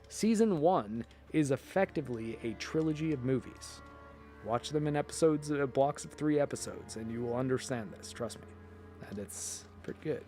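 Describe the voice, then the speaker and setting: A man's voice: a little high, darkish tone. Casually rambling man. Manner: informal, emphatic, halting mid-thought. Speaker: video host. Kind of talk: casual rambling film and TV commentary.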